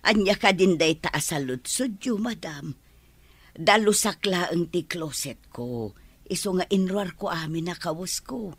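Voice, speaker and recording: A woman's voice: lowish voice, lively intonation, slow, long pauses, breathy edge, no echo, full character voice. A woman acting a deferential, grateful housemaid with an Ilocano accent in a radio drama. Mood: deferential, reassuring.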